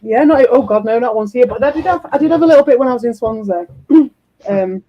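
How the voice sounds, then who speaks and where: Croaky man, casual rambling chat. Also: very high voice, animated, fast talker, highs cut off. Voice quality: croaky, husky. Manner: rambling, swears a lot. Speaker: streamer host.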